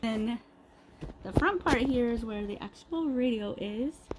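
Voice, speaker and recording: A woman giving a casual, improvised unboxing review, pausing mid-sentence in an appreciative, unhurried way. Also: a little high, expressive. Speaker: product reviewer. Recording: clearly noisy background ambience.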